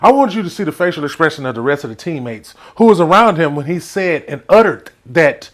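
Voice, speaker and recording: Male comic storyteller, Southern US accent, slightly high voice, casual, rambling, animated. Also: fairly quick. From Texas, United States.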